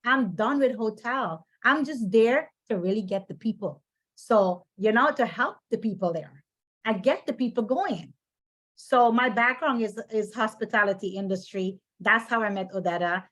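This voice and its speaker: A middle-aged woman speaks with a Guyanese accent in a fairly high voice. She presents like an informal financial seller addressing an audience, stressing and repeating her key phrases. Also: lively intonation, moderate pace, a bit bright, poor audio.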